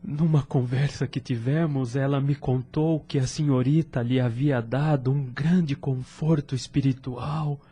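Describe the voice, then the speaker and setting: A desolate Brazilian widower in a radio-style audio drama. He speaks slowly, in a muted, slightly husky voice, formal and hesitant in his sorrow. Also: mid-range voice, fairly even intonation, warm tone. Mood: awkward, courteous. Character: grieving widower, courteous, hesitant, desolate and lonely. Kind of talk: Portuguese-language audio drama acting.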